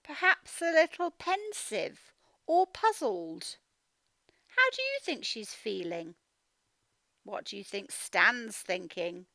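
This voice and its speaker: A woman's voice: fairly high pitch, very animated, slow pace, long pauses, sharp tone, dry recording. A woman reading a storybook as a phonics teacher, sounding out words and putting questions to young children. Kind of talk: interactive storybook read-aloud lesson.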